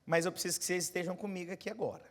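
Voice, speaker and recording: A Brazilian pastor in his forties preaching a sermon, moving from quiet, close asides to loud, rising exhortation and putting questions straight to his congregation. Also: sits high, fairly even intonation, racing. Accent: Brazilian Portuguese. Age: 40s. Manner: talks straight to the congregation, asking them questions.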